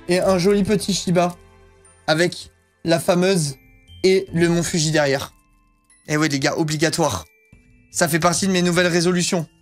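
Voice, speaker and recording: A man in his thirties chatting casually as a streamer, rambling with plenty of fillers and crude slang. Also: on the high side. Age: 30s. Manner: rambling and slangy, swears freely, many fillers. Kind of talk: casual chat showing off purchases.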